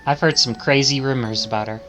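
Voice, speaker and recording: Guy streamer reading visual novel text out loud, bright forceful voice, dramatic, faint music behind. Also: mid-range voice, steady tone, brisk.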